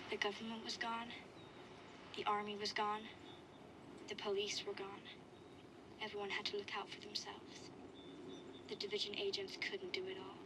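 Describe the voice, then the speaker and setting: Woman as a young survivor girl, flat, measured delivery. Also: medium pitch, noisy background, lightly acted. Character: young girl survivor recording a diary, wary and reflective. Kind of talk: first-person audio log narration.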